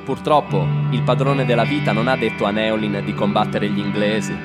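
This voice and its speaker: A man reading a story in a theatrical, declamatory way, in short deliberate phrases. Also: on the low side, flat delivery. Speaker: storyteller, reader of own texts. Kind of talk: dramatic narrated reading over live music. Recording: voice over loud live guitar music. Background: guitars and rhythm section.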